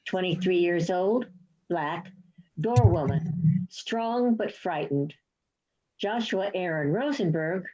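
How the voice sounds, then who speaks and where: A woman's voice: medium pitch, darkish tone, highs cut off. A woman reading out a play's script as narrator. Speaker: stage-directions reader.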